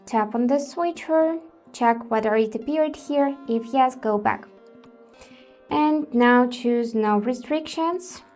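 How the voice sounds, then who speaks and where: Slow-paced, higher-pitched woman giving tutorial. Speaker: tech tutorial presenter. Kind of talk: step-by-step tutorial narration.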